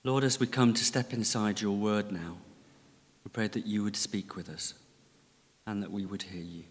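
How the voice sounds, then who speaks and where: A man's voice: lowish voice, fairly even intonation. Man preaching in a reverberant church. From Lancashire, England. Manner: gentle, measured, conversational with dry humour. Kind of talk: sermon. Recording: long reverb of a large church.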